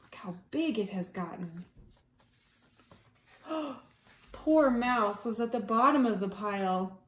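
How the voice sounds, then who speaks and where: Woman, higher-pitched voice, unhurried storybook reading, dramatic, hushed asides, roomy echo. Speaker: preschool teacher reading storytime. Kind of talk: expressive children's storybook read-aloud.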